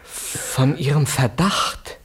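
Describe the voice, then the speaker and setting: An actor playing a polite, careful subordinate to a Russian official in a German radio play, speaking in a measured way. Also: male voice, medium pitch, husky, heavily acted. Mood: deferential.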